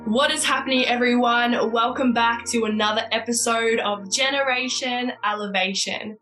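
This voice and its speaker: A woman's voice: on the high side, steady tone, normal speed, sharp tone, soft background music, low-quality recording. A woman hosting a podcast, upbeat and enthusiastic, with frequent fillers like "like" and "so". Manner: bubbly, enthusiastic, heavy use of "like" and "so". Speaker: podcast host.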